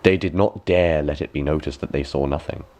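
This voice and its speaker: A man reading a fairy tale aloud as an expressive audiobook narrator. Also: very deep voice, fairly quick. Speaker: audiobook reader.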